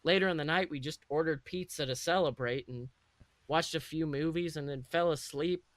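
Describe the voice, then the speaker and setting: A man plays an eleven-year-old boy wizard telling his own story. The voice is high and bright, with a dry, close sound. He is chatty, proud and excitable, often correcting himself mid-thought. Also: moderate pace, no room sound, close to an everyday voice. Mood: eager. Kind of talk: first-person dramatic storytelling.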